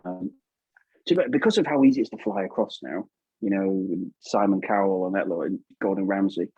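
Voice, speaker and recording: A man's voice: low-pitched, fairly quick, a little mellow, breathy edge, dry recording, dull audio. A man with an English accent chatting casually as an interview guest, informal and often tagging questions onto his remarks. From England. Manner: informal, chatty, seeks agreement with tag questions. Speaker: guest puppeteer.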